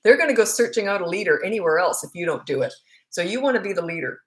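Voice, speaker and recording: A woman's voice: on the low side, animated, fast. A middle-aged woman with a Canadian accent, a parenting coach answering viewer questions live in a frank, chatty, rambling way.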